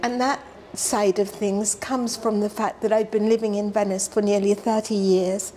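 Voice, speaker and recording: A middle-aged woman who grew up in London, explaining environmental work in a relaxed sit-down interview, earnest and measured. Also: medium pitch. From South Africa, raised in London. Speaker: environmental scientist, interview guest. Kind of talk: conversational interview. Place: hotel lounge, seated on a sofa indoors.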